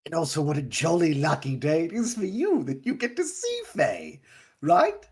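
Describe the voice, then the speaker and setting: Woman doing a flippant fae performer for a tabletop game, real deep n croaky, grandiose and wry. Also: very animated, normal speed, a little mellow, full character voice. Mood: boastful. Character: theatrical, flippant fae entertainer with puppets.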